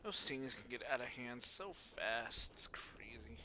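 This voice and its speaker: A man streams his playthrough with casual, muttered commentary. His voice sits at an average pitch, and he pokes fun at himself as he goes, with music playing underneath. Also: expressive, a little slow, in a whisper. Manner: muttering, self-deprecating. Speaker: streamer playing a story game.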